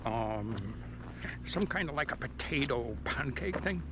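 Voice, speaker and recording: A middle-aged man, born in England softened by speech, chatting casually to camera as a hiking vlogger in a resort cafeteria. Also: mid-range voice, animated, measured pace, noisy background. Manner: chatty, rambling, with chuckles and restarts. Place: mountain resort cafeteria, indoors.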